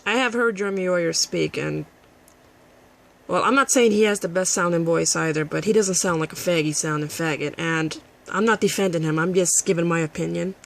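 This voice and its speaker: Casting: woman, raspy husky voice with gravelly fry, combative profane vlog rant. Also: on the low side, brisk. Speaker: vlogger. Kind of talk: addressing a critic to camera.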